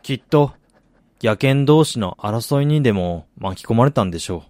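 An actor playing a weary, reflective middle-aged man in a radio drama, recounting his losses in a measured, confessional way. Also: medium pitch, expressive, a little mellow, no room sound, clean studio sound, close to an everyday voice. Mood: reflective, haunted. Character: weary man who lost family, confessional, brooding. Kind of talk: Japanese radio drama acting.

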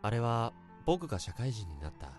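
A man's voice: medium pitch, big pitch swings, normal speed, darkish tone, faint music behind, natural voice. An actor playing an earnest salaryman in his thirties, telling his story politely and reflectively in a Japanese radio drama, in a literary style. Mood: reminiscing. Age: 30s. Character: earnest 32-year-old salaryman confessing a bittersweet youthful love.

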